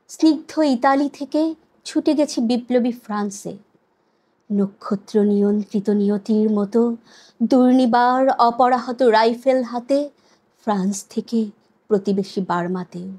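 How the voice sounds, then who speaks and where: A woman gives a dramatic poetry recitation in a somewhat high voice. She takes her time, moving very slowly through each phrase. Her delivery is solemn and measured, with deliberate pauses. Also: fairly even intonation, dark tone.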